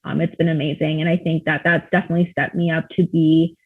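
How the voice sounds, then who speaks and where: A man with a high voice speaks earnestly as a panelist, leaning on "um" as he thinks. His voice comes through thin and narrow, like a phone call. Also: little pitch movement, quick pace. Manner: earnest, many fillers. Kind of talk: panel discussion answer. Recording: tinny phone-like line.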